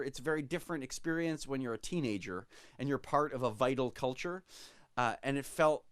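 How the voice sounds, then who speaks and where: A man's voice: fairly high pitch, smooth, no room sound, clean studio sound. A man in his forties from Quebec, a musician guest giving long, reflective answers that ramble and correct themselves mid-thought on a video call. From Quebec, Canada. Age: 40s. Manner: rambling, self-correcting, halting.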